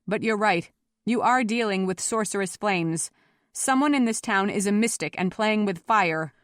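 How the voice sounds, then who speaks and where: A woman's voice: medium pitch, moderate pace, no echo, clean studio sound, close to an everyday voice. Woman acting proud, defiant female mystic. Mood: cool, firm. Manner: cool, scornful, forceful. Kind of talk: audiobook character voice.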